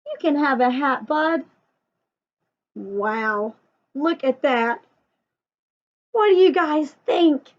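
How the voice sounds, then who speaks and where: Female children's storyteller, high-pitched and unhurried, sing-song and expressive, on a dull lo-fi recording. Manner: expressive, sing-song, asks listeners questions. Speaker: storyteller and teacher for young children. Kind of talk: read-aloud children's story with chatty asides to young viewers.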